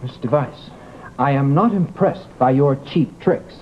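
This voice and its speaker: An actor playing a pompous, skeptical, easily rattled doctor in old-time radio horror-comedy, with a fussy, sputtering manner. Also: male voice, medium pitch, measured pace, natural voice. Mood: indignant, pompous. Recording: dull, noisy vintage radio recording.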